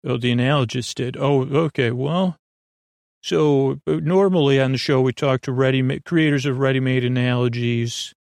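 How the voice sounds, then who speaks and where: A man's voice: medium pitch, fairly even intonation, moderate pace, a little mellow, no echo. A man hosting a podcast in a casual, goofy bedtime monologue, meandering and self-deprecating, often trailing off and restarting his sentences. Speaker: podcast host.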